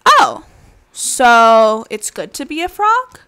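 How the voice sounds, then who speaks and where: Woman acting a whiny, high-pitched young storybook frog. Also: big pitch swings, slow pace, pushed and bright, smooth, exaggerated voice. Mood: startled, curious. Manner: protesting, childlike questioning. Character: young frog, whiny, eager, stubbornly discontented. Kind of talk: animated children's storybook read-aloud.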